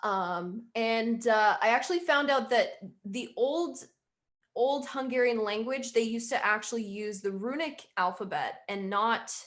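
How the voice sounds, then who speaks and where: Chatty young woman, slightly high voice. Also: unhurried, a bit bright. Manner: chatty, rambling, quotes herself often. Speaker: musician interviewee. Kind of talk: casual interview chat.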